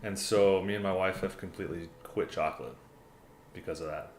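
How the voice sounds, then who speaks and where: A man's voice: low voice, steady tone, a little fast, slight background noise. A young man from the United States hosting a casual livestream, reading chat messages aloud in a laid-back, rambling way and often calling people "man" and "dude.". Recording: roomy echo, classical music playing behind.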